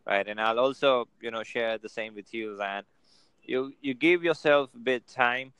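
A man's voice: mid-range voice, normal speed, a bit bright, clean tone, dry recording, poor audio. A man giving casual motivational advice on a video call, filling his talk with "you know" and "right?". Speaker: guest.

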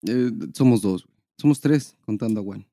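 A man's voice: lowish voice, normal speed, warm tone, no echo, studio quality. A man with a Mexican accent chatting casually as a podcast co-host, slangy and sweary, repeating phrases for emphasis. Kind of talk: casual comic chat.

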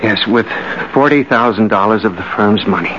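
A man's voice: lowish voice, normal speed, natural voice. Guy acting a nervous spineless businessman, stammery, old radio drama, muffled and noisy. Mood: guarded. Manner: hesitant, stammering, anxious. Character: weak-willed, nervous businessman under a schemer's thumb.

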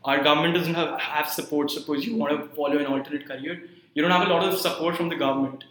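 Man in his 20s, student guest, bright and forceful tone, joking at his own expense, roomy echo. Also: fairly high pitch, fairly even intonation, fast. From India. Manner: self-deprecating, laughs easily. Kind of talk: casual interview.

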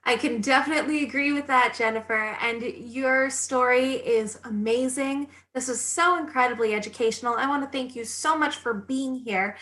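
Bright, polished woman host. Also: high voice, normal speed, few pauses. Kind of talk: interview hosting.

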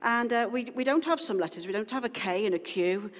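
A woman's voice: mid-range voice, a little fast. A woman pastor giving an informal, rambling sermon to her congregation, full of asides and restarts. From Wales. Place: church hall. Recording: long hall reverb.

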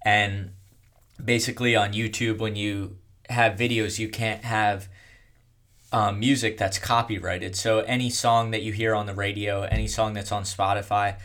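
A young man telling his own story in a casual, rambling way, with a monotone delivery and lots of fillers. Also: fairly low pitch, normal speed. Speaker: content creator.

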